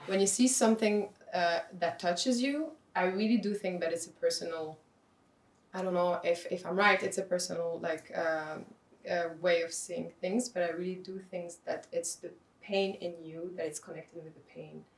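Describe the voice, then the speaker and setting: Low-voiced thoughtful woman, echoey room. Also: steady tone, moderate pace. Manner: thoughtful, soft, searching for words. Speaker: art advisor, podcast guest. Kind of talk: casual podcast conversation. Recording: roomy echoey room sound.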